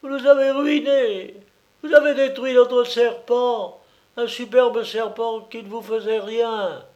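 A man reading a storybook aloud, voicing a huge, puffing fairground woman who scolds in shrill shrieks. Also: measured pace, a little mellow, muffled recording. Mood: furious. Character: huge puffing woman showman, furious and loud.

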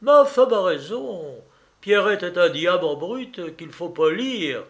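A man's voice: high-pitched, very animated, takes it slowly, voice pushed up, full character voice. A man voicing a scheming provincial lawyer in a storybook reading, insistent and coaxing. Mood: persuasive.

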